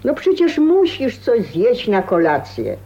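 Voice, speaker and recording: A scolding older aunt in a radio drama, with a rather high voice and a very measured pace. She fusses and nags with bossy reproach. The recording sounds somewhat muffled. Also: huge pitch swings, mellow, heavily acted. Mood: fussing, gossipy. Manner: nagging, fussing, reproachful. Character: fussy, scolding, overbearing older spinster aunt. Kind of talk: Polish radio drama acting.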